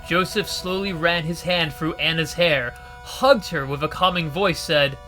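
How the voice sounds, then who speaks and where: A man narrating a visual novel story in a steady, expressive reading. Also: fairly high pitch, unhurried. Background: faint music.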